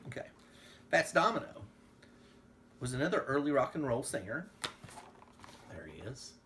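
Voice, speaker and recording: A man reads aloud to his child as a playful dad, casual and full of asides. His voice sits at a medium pitch, captured in a home recording with faint background noise. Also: very animated, normal speed. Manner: playful, breaks into song and asides. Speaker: father reading aloud to a child. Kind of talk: casual read-along of a picture book with sung snippets.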